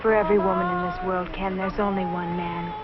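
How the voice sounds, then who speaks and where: A woman's voice: on the low side, level tone, a little fast, close to an everyday voice. An actress playing a lovestruck, earnest young bride in a 1950s educational film drama, pleading with her sweetheart. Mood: tender, yearning. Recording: muffled, noisy 1950s film soundtrack.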